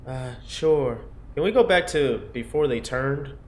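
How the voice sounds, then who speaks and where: A man's voice: mid-range voice, expressive, unhurried, darkish tone, natural voice. A man reading a visual novel aloud as an anxious, hesitant person confiding in a medic. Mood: worried, surprised.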